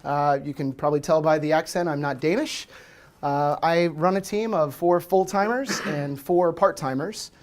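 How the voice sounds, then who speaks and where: A man's voice: a little high, normal speed. A young American man from Washington, D.C. giving a casual presentation at a meetup, with many fillers, recorded from a distance in a dim room. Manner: casual, filler-heavy, self-deprecating. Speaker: conference speaker. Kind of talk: presentation talk.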